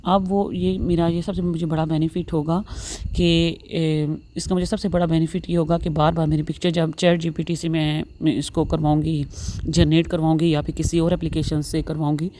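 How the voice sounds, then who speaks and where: A woman's voice: low-pitched, flat delivery, normal speed, mellow, a little hiss or hum. A woman narrating a step-by-step tutorial, mixing English words into her speech and repeating her instructions. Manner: repetitive instructional phrasing with English words mixed in. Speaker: tutorial host.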